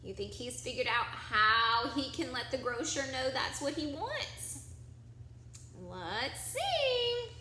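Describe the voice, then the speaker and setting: A woman reading a picture book aloud to children like a teacher, expressive and encouraging, drawing out key words and putting questions to her listeners. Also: high-pitched, takes it slowly. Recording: echoey room, faint background noise.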